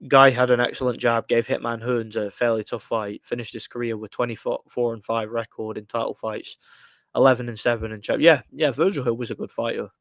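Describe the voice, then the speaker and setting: A man's voice: mid-range voice, fairly even intonation, a little fast, dry recording. A man in his thirties talking boxing on a casual live stream, rambling with many restarts and giving blunt, frustrated opinions. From United Kingdom. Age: 30s. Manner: rambling, frequent restarts and fillers. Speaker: livestream host.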